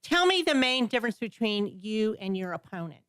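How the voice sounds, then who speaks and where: A woman's voice: medium pitch, very animated, normal speed, fairly bright tone, clear, resonant tone, no echo. A woman hosting a local talk show, chatty, asking guests questions with friendly asides. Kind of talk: casual interview.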